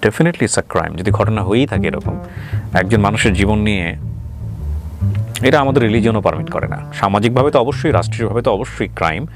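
A man's voice: on the low side, expressive, brisk. A man with a Bangladeshi Bengali accent hosting an interview, asking probing questions and commenting, mixing in English words. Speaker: radio show host interviewer. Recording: music playing under the voice.

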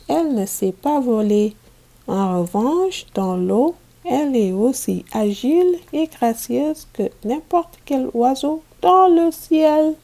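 A woman reading a picture book aloud to children as a teacher, in a deliberate manner made for learners. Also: high voice, warm tone. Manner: deliberate, repeats sentences for learners.

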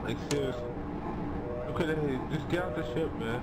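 A man's voice: a little high, normal speed. A man talking casually over in-game voice chat as a player in a sci-fi roleplay game. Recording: noisy game mic, loud vehicle ambience.

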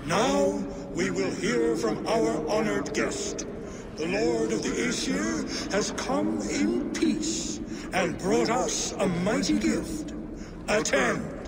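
Aged frost giant king, made for a video game. He is grand and commanding, with an unusually high, wildly swinging voice. He speaks slowly and imperiously over loud ambient noise. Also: lively intonation, full character voice. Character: old bearded frost giant king, boisterous, imperious host. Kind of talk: video game cutscene acting.

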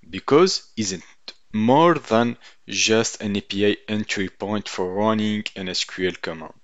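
Slow, low-voiced man explaining slides. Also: a bit bright, dry recording. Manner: halting, reads with ums and uhs. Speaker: instructor. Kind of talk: tutorial voice-over over slides. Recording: dull lo-fi dry voice-over.

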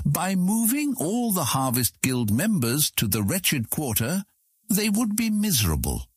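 A man with a husky voice reading scripted fantasy narration as an audiobook narrator. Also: fairly low pitch, dry recording, clean studio sound.

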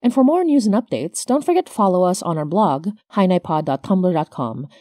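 A woman's voice: on the low side, lively intonation, fairly quick, mellow, dry recording, studio quality. A woman hosting her podcast, reading scripted announcements as its creator.

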